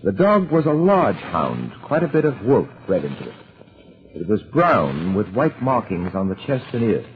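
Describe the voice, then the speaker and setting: An actor playing a cool, commanding gentleman adventurer in an old-time radio drama, brisk and polite. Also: lowish voice, huge pitch swings. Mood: composed, dry. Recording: noisy, phone-like old radio recording.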